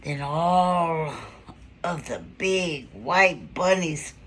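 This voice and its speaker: Slow-paced woman reading a children's story. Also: deep voice, animated, a bit bright, slight background noise. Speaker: storybook reader. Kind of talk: read-aloud children's story with casual asides.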